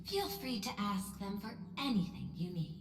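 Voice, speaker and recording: A woman playing a poised, shrewd corporate executive in a video game, speaking in a formal, diplomatic, measured way. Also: medium pitch, very animated, lightly acted. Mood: composed, welcoming. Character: poised, courteous, shrewd female corporate executive and planetary governor. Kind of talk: video game story voice acting. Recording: roomy long reverb, music behind.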